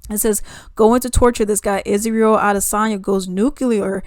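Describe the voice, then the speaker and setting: A woman's voice: medium pitch, moderate pace, no room sound. Chatty woman commenting, dry sound. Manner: animated, chatty, swings between loud emphasis and hushed asides. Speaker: YouTube commentator. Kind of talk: casual commentary reading news and comments.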